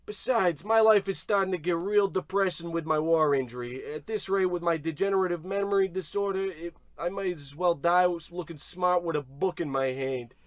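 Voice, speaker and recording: Male actor as earnest excitable tough guy, high voice, dry close recording, old radio comedy. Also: normal speed, hardly any pauses, dry recording, natural voice. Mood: glum. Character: excitable, earnest goon or cop, comically frank. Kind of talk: old-time radio play comedy acting.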